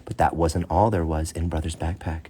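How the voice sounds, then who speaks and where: A man with a husky voice, a father reading a storybook aloud to his child with casual asides. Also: rumbling low, level tone, moderate pace, warm tone. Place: child's bedroom, indoors.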